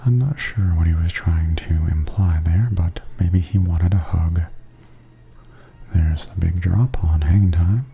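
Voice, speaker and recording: A man giving softly spoken ASMR tour commentary in a breathy, near-whispered, gentle and unhurried murmur. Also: sits low, highs cut off, faint music behind.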